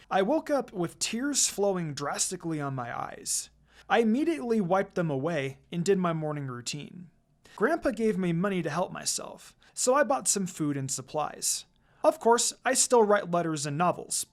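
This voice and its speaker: A reader giving an expressive first-person narration of a fan fiction story. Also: medium pitch.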